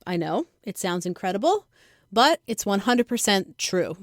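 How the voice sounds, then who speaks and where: A woman reading her own first-person comic story in a conversational, wry, expressive and self-mocking way. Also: medium pitch, moderate pace, no echo, clean studio sound. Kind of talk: scripted first-person comedic narration.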